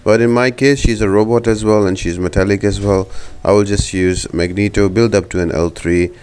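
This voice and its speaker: A man's voice: lowish voice, steady tone, a little fast, darkish tone, smooth. A man giving casual, explanatory walkthrough commentary for a video game as the host of a guide.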